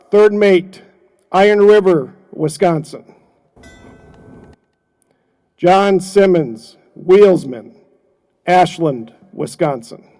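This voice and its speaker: A man solemnly reading a roll of names at a memorial ceremony, slow and measured. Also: high-pitched, a little mellow.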